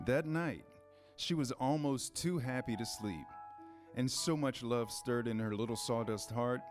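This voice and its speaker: Guy narrating a kids storybook, medium pitch, measured, bit of music in back.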